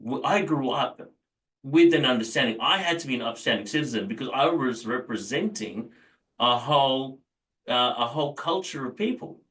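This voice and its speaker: Man, born in India, thoughtful, bright tone, distant mic. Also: medium pitch, expressive. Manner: measured, thoughtful, many fillers. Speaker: guest. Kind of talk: interview.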